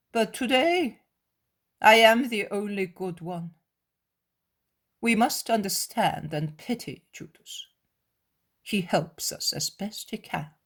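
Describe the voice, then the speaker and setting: A woman voicing a stern male elder who speaks in a low, soothing, measured way. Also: a bit bright, exaggerated voice.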